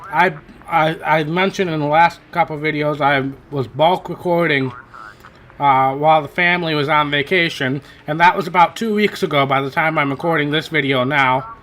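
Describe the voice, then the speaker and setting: Chatty gamer guy, slightly high voice. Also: moderate pace, fairly bright tone, a little hiss or hum. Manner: rambling, self-correcting, chatty. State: voice worn from hours of talking. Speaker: gaming channel host. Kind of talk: casual racing gameplay commentary.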